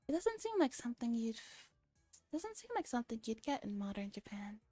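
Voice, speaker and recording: A woman streamer with a soft, breathy, often whispering voice, reading a story and chatting casually in a relaxed ASMR style. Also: fairly high pitch, brisk, no room sound, soft background music. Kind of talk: ASMR visual novel read-aloud with casual chat.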